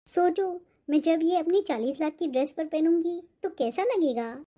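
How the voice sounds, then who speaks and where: Woman as a snobbish, high-voiced boastful aunt. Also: moderate pace, mellow. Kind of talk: Hindi animated moral story dialogue.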